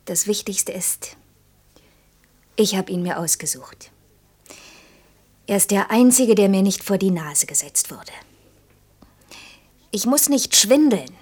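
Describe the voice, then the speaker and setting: A woman's voice: mid-range voice, lively intonation, slow pace, pauses often, breathy edge. Young working woman, outspoken, sensitive, defiant, earnest, intimate radio play dialogue. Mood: tender, hushed. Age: young adult. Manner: earnest, reproachful, shifting between insistent outbursts and hushed confiding. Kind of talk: German radio drama acting, intimate dialogue.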